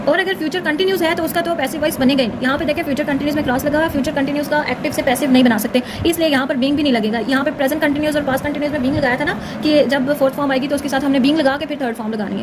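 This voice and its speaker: A woman with a very high voice teaches at a quick pace, explaining step by step in an informal tutorial manner. She keeps adding short check-in tags, and clear background noise runs behind her. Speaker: English grammar teacher.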